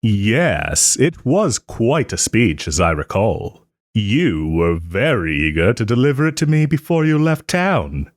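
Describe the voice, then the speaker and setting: A man playing a proud, sarcastic nobleman in a scripted roleplay audio drama, with haughty, theatrical phrasing and pointed pauses. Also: lowish voice, no echo, studio quality. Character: wry, wounded young nobleman lord, sarcastic and proud.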